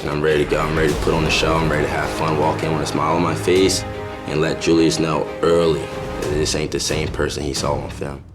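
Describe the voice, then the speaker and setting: A man's voice: extremely low pitch, level tone, fast talker. A man from South Carolina, a fighter speaking in a promo interview, confident and driven, repeating his points. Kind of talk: interview soundbites in a promo package. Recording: music bed under the voice.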